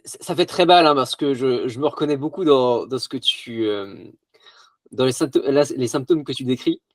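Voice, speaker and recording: Un homme animateur de podcast, enrhumé, qui parle avec enthousiasme en butant souvent sur ses mots. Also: male voice, medium pitch, normal speed, no echo. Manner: enthusiastic, stumbling restarts. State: has a cold. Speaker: podcast host. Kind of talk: casual interview talk.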